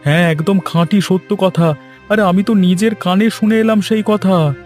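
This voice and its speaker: A man voicing an anxious, gossiping village servant and husband in a cartoon, talking in an excitable, pleading way. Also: sits high, normal speed, faint music behind, pitched above the performer's own voice, lightly acted. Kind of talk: cartoon voice acting.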